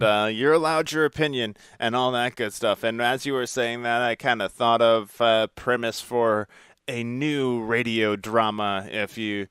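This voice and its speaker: A man's voice: on the low side, fairly bright tone, clean tone, dry recording, studio quality. A man hosting a podcast interview in a casual, laid-back conversational way. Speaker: podcast host.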